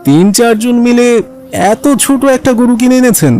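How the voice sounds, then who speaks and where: A man's voice: very high voice, animated, moderate pace, darkish tone. A man voicing a talkative adult neighbour in a children's cartoon. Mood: mocking, gloating. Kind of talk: animated cartoon dialogue.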